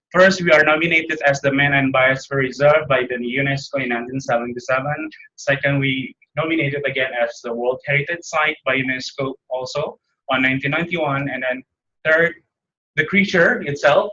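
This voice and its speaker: Indonesian-accented man, bright voice, halting seminar delivery, dull lo-fi recording. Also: fairly high pitch, a little hiss or hum. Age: young adult. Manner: nervous, halting, with many fillers and restarts. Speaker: seminar presenter. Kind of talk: academic slide presentation. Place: seminar room.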